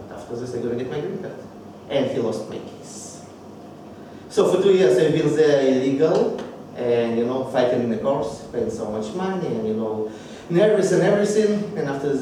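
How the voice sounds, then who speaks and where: A man in his thirties with a Russian accent tells his life story, halting now and then as he hunts for the right word. He is captured from a distance in a very echoey room, with steady background noise behind him. His pitch sits in a middle range. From Belarus. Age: 30s. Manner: halting, searching for words in a second language. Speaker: speaker at a public speaking club. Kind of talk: personal life story speech. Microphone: distant microphone.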